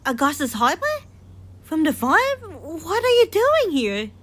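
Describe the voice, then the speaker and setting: An anxious young witch girl in an audio drama. Her voice is extremely high and leaps around as she blurts breathless questions and exclamations. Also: very animated, measured pace. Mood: bewildered.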